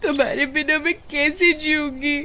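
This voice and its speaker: Woman playing a high, emotional farmer's wife. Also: steady tone, moderate pace, sharp tone, a little hiss or hum. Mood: tearful, pleading. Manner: gushing and pleading. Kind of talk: children's cartoon story acting.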